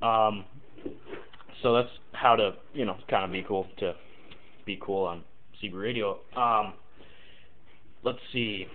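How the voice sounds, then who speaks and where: A man with a fairly low voice comes through a narrow, phone-like recording. He explains things casually and haltingly, thinking aloud as he goes, and slips into example radio calls. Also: slight background noise. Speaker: amateur CB radio tutorial maker. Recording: muffled, tinny phone-like lo-fi audio.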